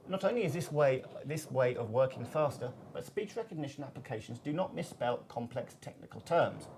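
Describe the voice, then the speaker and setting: A man presents as the host of a business web seminar. His mid-pitched voice delivers scripted introductions and questions, with some noise behind it.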